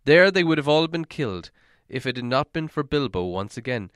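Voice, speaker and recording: A man reading a fantasy story aloud as scripted narration, in a theatrical, deliberate manner. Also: mid-range voice, very animated, fairly bright tone, a little hiss or hum. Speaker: audiobook reader.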